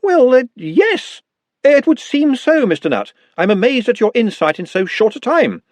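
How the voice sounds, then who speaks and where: A man voicing a fussy, flustered young wizard academic in an audiobook, with a husky voice. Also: very high voice, huge pitch swings, moderate pace, no room sound, pitched above the performer's own voice, exaggerated voice. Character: excitable high-strung young male wizard academic.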